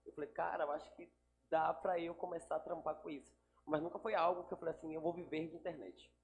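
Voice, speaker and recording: A man's voice: a little high, quick pace, vocal fry. A man, a guest chatting casually in a podcast interview. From Salvador, Brazil. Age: 30s. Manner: relaxed, chatty, laughs easily. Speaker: guest, digital influencer.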